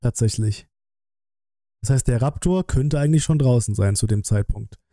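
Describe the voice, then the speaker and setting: A man's voice: medium pitch, moderate pace, dark tone, dry recording. A man, giving casual live-stream commentary in a colloquial, musing way, close on the mic. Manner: musing, colloquial, thinking aloud. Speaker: streamer reacting to a game trailer.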